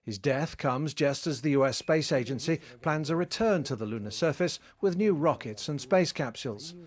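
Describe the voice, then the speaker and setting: A male correspondent narrates a scripted news report. His voice is pitched slightly higher than most men's. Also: expressive, normal speed. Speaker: science correspondent.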